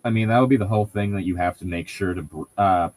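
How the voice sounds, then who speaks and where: A man's voice: low-pitched, fast talker, a little mellow, no echo. A man chatting casually as a co-host, explaining things in a rambling, nitpicky way. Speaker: podcast co-host reading and riffing on a novel. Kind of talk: casual banter and commentary.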